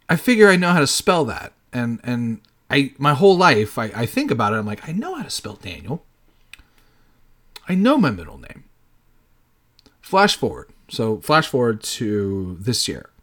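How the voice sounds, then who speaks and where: A man's voice: lowish voice, fairly bright tone. A man in his late thirties hosting a podcast solo, talking casually in a rambling way and swearing freely. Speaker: podcast host.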